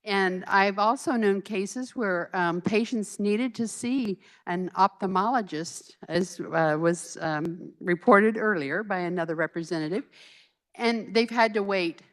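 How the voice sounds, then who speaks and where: Halting female legislator in floor debate. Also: mid-range voice. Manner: halting, searching for words. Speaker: state legislator. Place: legislative chamber.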